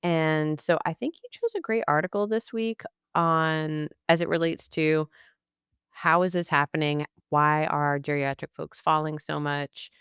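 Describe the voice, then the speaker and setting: A woman's voice: deep voice, steady tone, measured pace, a bit bright, clean tone, no room sound, clean studio sound. A young adult woman co-hosting a casual podcast, playful and sarcastic, laughing often. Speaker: podcast co-host, speech-language pathologist. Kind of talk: casual chat.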